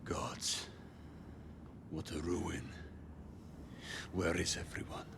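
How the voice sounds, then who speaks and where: An actor playing a proud, hostile Norse slaver in a video game, gruff and grudging. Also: male voice, low voice, little pitch movement, very slow, background noise, full character voice. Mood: bitter, threatening. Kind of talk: dramatic video game acting.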